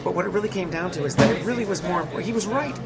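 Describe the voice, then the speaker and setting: A man's voice: high voice, fast, gravelly, over a noisy crowd. A man with an American accent, a touring musician chatting candidly in an interview and laughing often. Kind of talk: casual interview chat.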